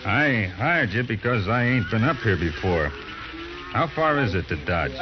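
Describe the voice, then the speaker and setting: An actor playing a gruff, contemptuous trail boss with a folksy, commanding cowboy drawl in an old radio western. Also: male voice, fairly low pitch, big pitch swings, a little slow, background noise. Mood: weary, matter-of-fact. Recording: muffled old radio recording.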